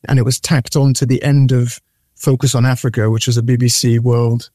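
A man's voice: medium pitch, brisk, dry recording, clean studio sound. A middle-aged man, born in Nigeria and raised in the UK, talking as a guest in a casual interview, full of repeats and restarts. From born in Nigeria, raised from age eight in the UK. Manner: animated storyteller with repeated words and restarts.

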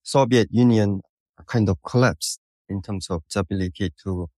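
A man in his thirties from North Korea with a Korean accent, answering interview questions in a halting, thoughtful, explanatory way. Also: on the low side, dark tone, dry recording. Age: 30s. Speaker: podcast guest recounting his life.

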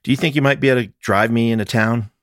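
A man's voice: mid-range voice, steady tone, fast, no echo, clean studio sound, pitched above the performer's own voice, natural voice. A man voicing a wry, weary amateur investigator with a casual, sardonic manner in a mystery audiobook. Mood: wry, exhausted.

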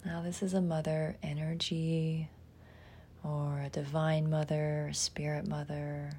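A woman leading a guided meditation in a soft, soothing manner, pausing often and trailing into breathiness. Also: deep voice, level tone, slight background noise.

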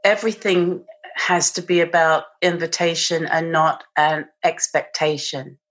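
A woman's voice: low-pitched, steady tone, measured pace, fairly bright tone. A woman with a British accent, a guest in a podcast interview, talking reflectively and searching for her words. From England. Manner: thoughtful, searching, restarts mid-phrase.

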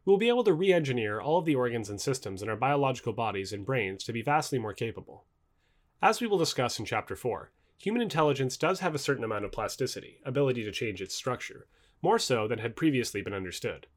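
Brisk, expressive male narrator. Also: medium pitch. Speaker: reader of a non-fiction book. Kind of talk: scripted audiobook-style narration.

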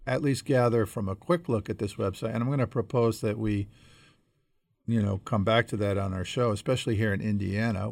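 Man, podcast co-host, heavy vocal fry, dry close sound, measured explanatory talk. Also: fairly low pitch, dry recording. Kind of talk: conversational podcast discussion.

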